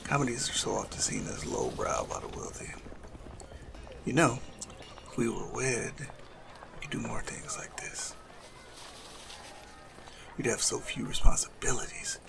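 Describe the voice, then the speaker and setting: A man playing a suave, cunning assassin in love, speaking softly and formally in a romantic audio roleplay with a gravelly creak. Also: medium pitch, huge pitch swings, unhurried, long pauses, noisy background, heavily acted. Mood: teasing, courting. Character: lovestruck male assassin, suave, cunning, tenderly courting a noble. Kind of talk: romantic audio roleplay acting addressed to the listener.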